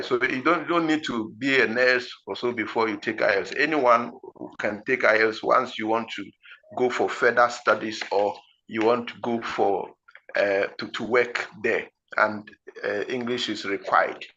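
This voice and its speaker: Ghanaian male tutor, deliberate word-by-word delivery, bright tone, muffled low-fi recording. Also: fairly even intonation. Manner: slow, deliberate, word-by-word delivery with frequent fillers. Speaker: IELTS teacher. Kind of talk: explanatory teaching talk.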